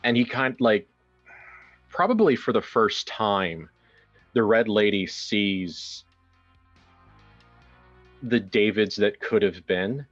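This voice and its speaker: Male tabletop storyteller, lower register, halting improvised delivery, slightly lo-fi sound with music underneath. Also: lively intonation, a bit bright. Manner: halting, searching for words with repeated phrases. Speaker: tabletop role-player narrating his character. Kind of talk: improvised game narration. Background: background music.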